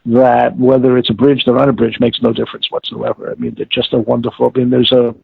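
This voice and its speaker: Male interview guest, husky and creaky, halting but emphatic, heard over a narrow phone-like line. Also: medium pitch, expressive, no room sound. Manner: halting, emphatic, repeats words for stress. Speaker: interview guest, audiobook expert. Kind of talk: reflective interview conversation.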